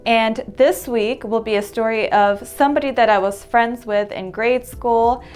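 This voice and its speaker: A woman from the United States hosting her vlog, talking to camera in a chatty, upbeat way and repeating words for emphasis. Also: on the high side. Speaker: vlog host and English teacher. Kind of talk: casual vlog talk to camera.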